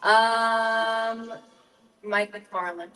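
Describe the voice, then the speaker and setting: A woman's voice: a little high, fairly even intonation, extremely slow, sharp tone, in a large hall. A woman whose first language is Russian, a voice actor answering panel questions briefly. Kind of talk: casual panel talk. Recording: distant mic in a panel room.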